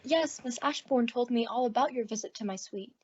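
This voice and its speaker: A woman playing a sweet, wounded young wife with a gentle, ladylike manner in a radio comedy. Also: fairly high pitch, a little fast, slightly rough. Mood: demure. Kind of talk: 1950s radio comedy acting. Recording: dull old-time radio recording.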